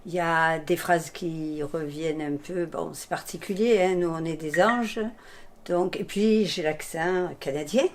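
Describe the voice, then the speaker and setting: An actress chatting casually in an interview, telling lively anecdotes with quick asides. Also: female voice, low voice.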